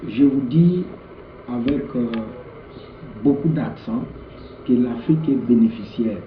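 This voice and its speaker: A man's voice: mid-range voice, slow. A man from the Democratic Republic of the Congo with a Congolese accent, answering an interviewer as a political leader in an emphatic way, repeating words. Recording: echoey, muffled, faint background noise.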